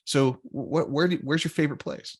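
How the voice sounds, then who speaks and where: A man's voice: on the high side, moderate pace. A man hosting a sales podcast, friendly and laid-back, with repeats and restarts. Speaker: podcast host.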